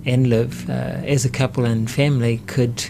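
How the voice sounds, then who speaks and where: A man talks as a guest in a radio studio interview. His delivery is casual and reflective, a little halting as he explains. Some background noise sits behind his voice. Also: medium pitch, flat delivery, vocal fry. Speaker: studio guest, acupuncture practitioner. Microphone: studio microphone.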